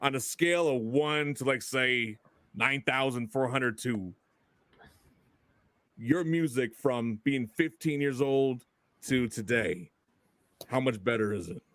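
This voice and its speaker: A man's voice: medium pitch, slow pace, long pauses, a bit bright, no room sound. A man co-hosting a podcast, laid-back, laughing often and dropping crude, jokey asides. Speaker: podcast co-host. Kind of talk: casual podcast chat.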